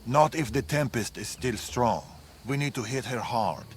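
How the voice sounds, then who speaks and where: An actor playing a grave, wary older warrior father in a video game, speaking in a measured, solemn, ominous way over dramatic music. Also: medium pitch, expressive, slight background noise, natural voice. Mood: grave warning.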